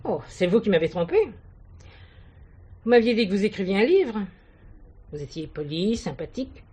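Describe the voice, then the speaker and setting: A woman's voice: mid-range voice, sing-song, normal speed, mellow, slightly rough. An actress playing an offended, stubborn woman who serves customers, curt and guarded, in a theatrical drama. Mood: reproachful, hurt. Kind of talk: French stage or TV play acting.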